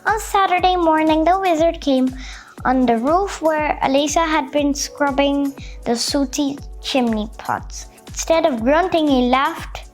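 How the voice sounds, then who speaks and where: Slow, high-voiced woman storyteller. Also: soft background music. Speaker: children's storyteller. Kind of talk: expressive read-aloud storybook narration.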